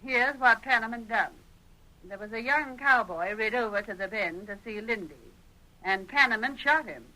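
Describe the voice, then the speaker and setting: A chatty frontier busybody, emphatic and opinionated. The voice is bright and forceful, with a folksy rural Western drawl, in 1940s radio style. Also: female voice, fairly high pitch, moderate pace, poor audio. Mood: gossipy, indignant. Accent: rural American Western. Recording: old radio drama recording.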